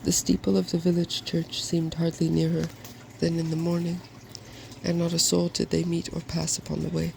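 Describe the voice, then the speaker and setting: Very creaky, soft-spoken male storyteller. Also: on the high side, completely flat delivery, normal speed, a little hiss or hum, low-quality recording. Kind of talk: soft-spoken ASMR fairy tale reading.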